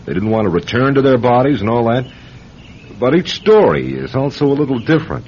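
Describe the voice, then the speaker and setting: An actor playing an obsessed, reckless novelist in an old-time radio drama, speaking with insistent, cajoling intensity. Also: male voice, medium pitch, expressive, a little fast, gravelly, darkish tone. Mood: eager, determined. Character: obsessed, reckless male novelist chasing a death experience. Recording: dull lo-fi radio recording with hiss.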